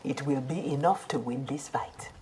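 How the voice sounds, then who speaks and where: A woman's voice: very deep voice, normal speed, a little mellow, a little hiss or hum. An actress playing a proud, principled young ninja warrior woman in a fighting game, with a firm, clipped, earnest delivery. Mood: defiant, resolute. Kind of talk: dramatic fighting game dialogue.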